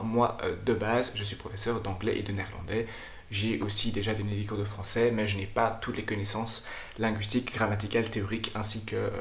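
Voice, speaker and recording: Low-voiced man teacher, echoey room. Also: slight background noise. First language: French. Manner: thoughtful, explains at length with frequent hesitations. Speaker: language teacher and channel host. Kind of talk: casual explanatory talk to camera.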